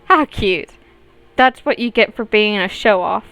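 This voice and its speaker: A woman's voice: medium pitch, expressive, measured pace, fairly bright tone, dry recording, soft background music, low-quality recording. A woman voicing a vain young lady con artist in a visual novel, outwardly polite, poised and wry. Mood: smug.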